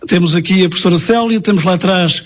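A man's voice: high-pitched, fast talker. A male moderator at a public debate, politely asking speakers to keep it brief. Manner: polite, urging brevity. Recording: dull lo-fi recording.

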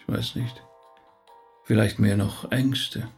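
An actor playing a thoughtful, courteous middle-aged rabbi in a radio play, with a gravelly, husky voice and a hesitant way of talking. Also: male voice, fairly low pitch, fairly even intonation, takes it slowly, darkish tone, soft background music, heavily acted. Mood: reflective. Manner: reflective, hesitant, polite.

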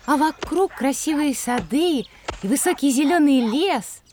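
A woman's voice: high-pitched, expressive, unhurried. A woman playing an inquisitive, polite young schoolgirl in an educational audio drama. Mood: curious. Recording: clearly noisy, birdsong behind. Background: birdsong, outdoor sounds.

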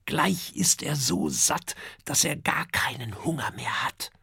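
A storybook narrator voicing an earnest, polite young male dragon, a son with a gentle, hesitant way of talking. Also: medium pitch, takes it slowly, no room sound, studio quality, voice pushed up, natural voice. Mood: hushed, cautious.

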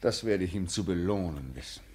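An actor playing a stern, officious police president in a radio play, formal in phrasing and dryly sarcastic with subordinates. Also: male voice, deep voice, lively intonation, brisk, warm tone. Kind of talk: German radio play acting.

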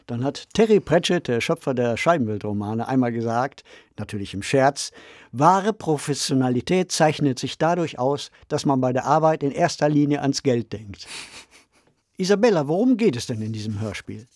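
A man's voice: medium pitch, animated, moderate pace, a little mellow, no echo, studio quality. A man co-hosting a podcast, presenting in a scripted style. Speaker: podcast co-host.

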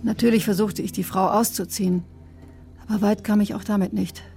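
An actress playing a brusque, sardonic emergency doctor who recounts a case in clipped, matter-of-fact radio drama narration. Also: female voice, mid-range voice, steady tone, brisk, warm tone, close to an everyday voice. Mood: dry, defensive. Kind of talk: German radio drama, dry first-person narration.

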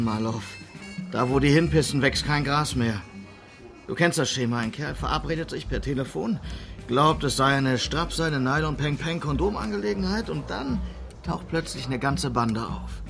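An actor playing a sleazy, cynical pimp in a noir radio drama, crude, scoffing and defensive. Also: male voice, medium pitch, moderate pace, vocal fry, music in the background, natural voice. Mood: scornful, irritated. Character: sleazy foul-mouthed male pimp, cynical and dismissive.

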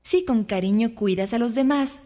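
A woman narrating a scripted children's story with expressive, careful phrasing. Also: a little high, normal speed.

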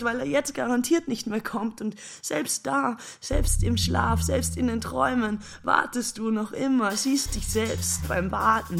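A woman's voice: sits high, vocal fry, full character voice. An actress playing a bitter, lovesick woman worn down by endless waiting, in an intense radio drama monologue. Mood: agitated, desperate. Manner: intense, brooding, building from hushed to agitated.

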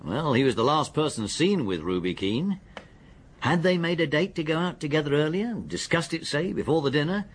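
An actor with an English accent playing a methodical, deferential police detective in a radio drama, his voice husky. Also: a little high, expressive, a little hiss or hum, lightly acted. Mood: confident, deferential. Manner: deliberate, factual, deferential. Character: methodical, deferential male police detective, confident and matter-of-fact. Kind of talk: British radio drama acting.

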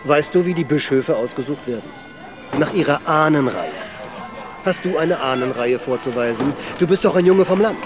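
An actor in a radio play voicing a boisterous, cynical travelling player with a theatrical, mocking manner. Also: male voice, a little high, animated, normal speed, over music, close to an everyday voice. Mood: teasing, persuasive. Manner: theatrical, mocking, swinging from hushed to ranting. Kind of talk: radio drama acting.

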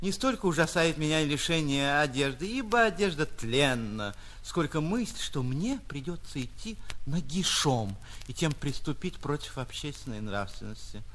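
A man voicing a melancholy, lovelorn double-bass player with pompous, theatrical laments in a dramatic storybook reading. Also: very animated, moderate pace, hardly any pauses, a bit bright, slight background noise. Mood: indignant lament. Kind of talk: dramatic literary audiobook acting.

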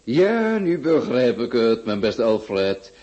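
An actor playing a genteel, gushing aristocratic marquis in a radio play. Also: male voice, mid-range voice, sing-song, slow pace, mellow, highs cut off, a little hiss or hum. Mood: effusive. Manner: effusive, sprinkled with French. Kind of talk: radio drama acting.

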